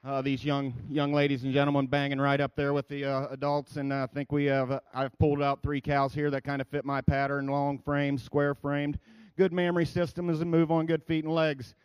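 A man's voice: on the high side, monotone, brisk, clear, resonant tone, no echo. A man judging a cattle show, giving his reasons off the cuff in a plain-spoken way, with fillers, in a large indoor arena.

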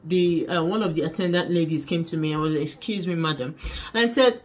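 A young woman with a Nigerian accent, telling a story to camera in a small bedroom in an animated, dramatic way. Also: fairly low pitch, fairly quick. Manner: animated, dramatic, laughing, mixing in pidgin. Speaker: vlogger. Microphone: camera in front at desk distance.

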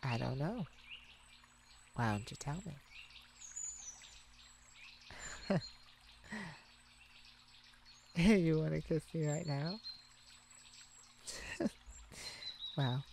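A woman's voice: deep voice, drawn-out words, vocal fry, pauses often, slight background noise, exaggerated voice. A woman playing a kind, protective, flustered young mage in a romantic ASMR roleplay, hesitant and stammering. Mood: shy, tender. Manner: hesitant, stammering, soft-hearted. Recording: steady outdoor birdsong ambience behind voice.

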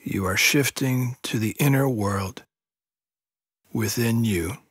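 Casting: male yoga nidra guide, very slow delivery, soft husky tone, soothing and unhurried, close dry sound. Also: medium pitch, no echo. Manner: slow, hushed, soothing, measured instruction with long pauses. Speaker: meditation guide.

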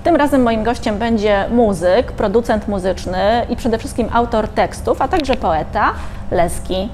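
A woman's voice: a little high, a little slow, a little mellow, slight background noise. A middle-aged woman announcing events with a hesitant delivery full of fillers, seated in a bookshop cafe. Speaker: event host. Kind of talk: promotional announcement.

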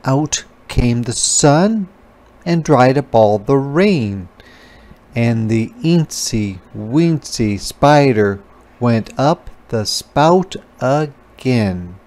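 A man reading a children's storybook aloud as a teacher, pausing to explain words. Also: medium pitch, lively intonation, a little mellow.

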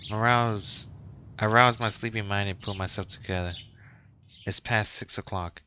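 A man's voice: lowish voice, fairly even intonation, takes it slowly, sharp tone, slight background noise. A man streaming a game, reading its text aloud and reacting casually. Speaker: streamer playing a visual novel. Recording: game music behind the voice. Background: game music.

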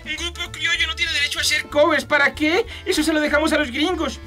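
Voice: high voice, fairly even intonation, quick pace, loud music behind the voice, lightly acted. An actor voicing a scheming, indignant cartoonish villain in a parody radio drama, in a melodramatic manner. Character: scheming cartoonish villain sworn to foil heroes.

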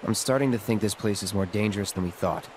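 A man's voice: fairly low pitch, quick pace, noisy background, close to an everyday voice. An actor playing a guarded, terse young mercenary swordsman who is awkwardly protective, in a video game cutscene. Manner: terse, hesitant, understated.

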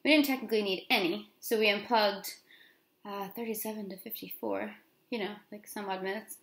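A woman's voice: mid-range voice, fairly even intonation, a little fast. A middle-aged American woman talking casually to camera in a conversational vlog style, with informal asides, recorded in a room at home. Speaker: vlogger. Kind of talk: casual vlog recounting from notes. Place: small room at home, indoors. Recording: echoey room.

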